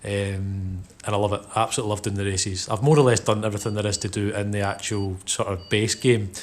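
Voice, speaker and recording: A man's voice: on the low side, fairly even intonation, fast. Laid-back Scottish man in his thirties. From Scotland. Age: 30s. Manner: rambling, lots of "you know" and "um" fillers. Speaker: gaming channel host. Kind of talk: casual gameplay commentary.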